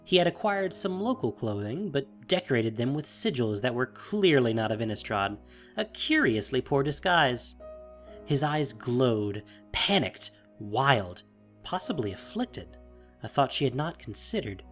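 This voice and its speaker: A man's voice: medium pitch, big pitch swings, unhurried, fairly bright tone, faint music behind. A man narrating a fantasy audio drama in a dramatic, scripted style.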